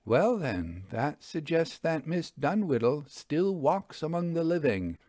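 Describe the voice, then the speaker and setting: A man's voice: fairly high pitch, very animated, slow pace, a little mellow, dry recording, clean studio sound, voice pushed up, heavily acted. A man voicing a forthright, wry woman ghost investigator in a storybook, with emphatic old-fashioned formal diction. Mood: matter-of-fact.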